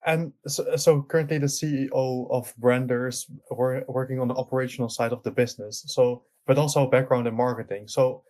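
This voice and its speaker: A man's voice: medium pitch, steady tone, a little fast, few pauses, a little mellow. Male podcast host asking friendly questions. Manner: friendly, brief prompts and questions. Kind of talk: casual interview conversation.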